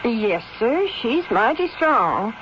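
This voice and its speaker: An actress playing a loyal, plain-spoken old housekeeper, kindly and dutiful, folksy and deferential, in 1970s radio drama style. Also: female voice, on the high side, sing-song, takes it slowly. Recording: dull, noisy 1970s radio drama recording.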